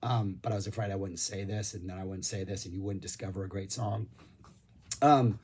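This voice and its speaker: A hoarse man losing his voice, rambling enthusiastically about music in a casual review vlog, with lots of ums. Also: low-pitched, steady tone, brisk, darkish tone. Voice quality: husky.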